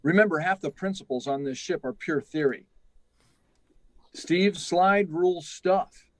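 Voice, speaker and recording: A man's voice: on the high side, a little slow, no echo, close to an everyday voice, rough recording. Stern, dry-sounding actor as a male project chief. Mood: grave, insistent. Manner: insistent, instructing. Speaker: radio drama actor. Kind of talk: 1950s radio drama acting.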